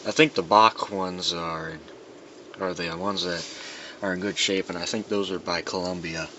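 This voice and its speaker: A man with a fairly low voice talks in a loose, unscripted way, as if half to himself while showing off a collection. His delivery rambles and muses. The recording is dull and lo-fi, with clear noise behind him. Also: steady tone, moderate pace, pushed and bright. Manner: rambling, musing to himself as he handles things. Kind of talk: casual unscripted show-and-tell. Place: indoors in a dimly lit room.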